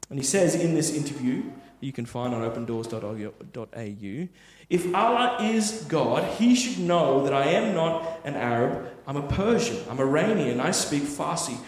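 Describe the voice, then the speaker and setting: A man's voice: a little high, lively intonation, normal speed, hardly any pauses. A man preaching a sermon, telling a story in an earnest, conversational way with frequent tag questions. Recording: echoey hall with long reverb.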